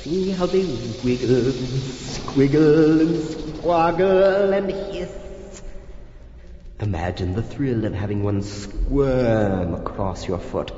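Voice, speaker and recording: An actor playing a gloating, prankish vampire count in a campy horror comedy record, with a hammy, drawn-out, gleefully sinister delivery. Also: male voice, mid-range voice, lively intonation, mellow. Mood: sinister relish. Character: gloating theatrical male vampire count, menacing prankish villain. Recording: muffled, echoey, noisy old flexi-disc record.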